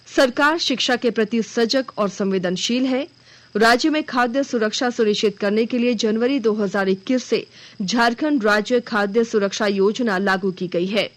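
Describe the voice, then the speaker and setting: A woman news anchor reading a scripted regional bulletin in a formal, measured manner. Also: fairly high pitch.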